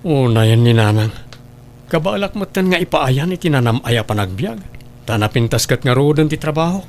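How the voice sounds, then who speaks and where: A man's voice: mid-range voice, animated, normal speed, darkish tone, a little hiss or hum, close to an everyday voice. A man with an Ilocano accent playing a caring, protective grown son in a family audio drama. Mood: earnest. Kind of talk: Ilocano radio-style family drama acting.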